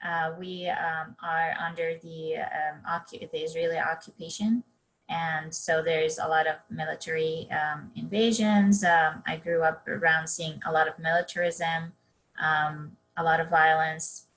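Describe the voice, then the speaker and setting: A young woman from Palestine gives a keynote on a webinar and tells her own story. Her voice sits a little low. She speaks earnestly and keeps pausing on um and restarting her sentences. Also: flat delivery, a bit bright, poor audio. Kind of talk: personal storytelling talk on a webinar.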